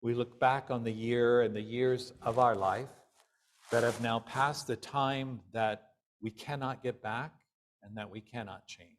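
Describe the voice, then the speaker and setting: A male minister delivering a sermon in deliberate, measured phrases with pauses. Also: medium pitch, fairly even intonation.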